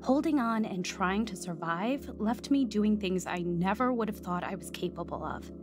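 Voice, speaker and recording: A woman's voice: mid-range voice, normal speed, lightly acted. A woman playing a stubborn, troubled woman, music behind. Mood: reflective. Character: troubled, stubborn woman recounting and reliving her drug-ridden life. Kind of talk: audio drama acting with narration.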